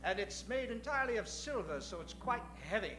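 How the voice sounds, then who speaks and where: An actor playing a fussy, worried Old West bank manager in an improvised radio comedy, polite and precise. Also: male voice, high voice, lively intonation, a little fast. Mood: matter-of-fact. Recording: roomy, echoey live stage.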